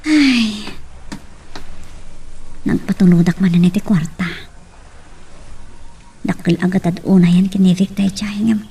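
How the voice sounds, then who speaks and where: A woman acting a caring middle-aged mother who works abroad, speaking with an Ilocano accent in a drawn-out, affectionate way in an audio drama. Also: medium pitch, dark tone, husky. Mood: worried, musing. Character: caring mother working abroad as a maid, phoning home. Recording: distant mic with faint noise.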